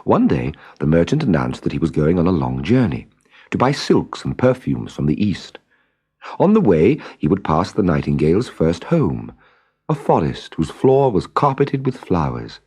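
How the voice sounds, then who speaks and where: A man's voice: low-pitched, wildly swooping pitch, moderate pace, dark tone, husky. A man reading a scripted children's story as narrator, with music behind him.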